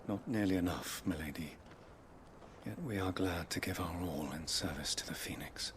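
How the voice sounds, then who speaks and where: A man acts out a solemn, devout knight from a fantasy game, with a very low voice. He speaks with stately deference in archaic, formal phrases, sometimes hushed. There is faint noise behind him. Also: normal speed, close to an everyday voice. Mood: solemn, deferential. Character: solemn devout male knight and chronicler of a secret order.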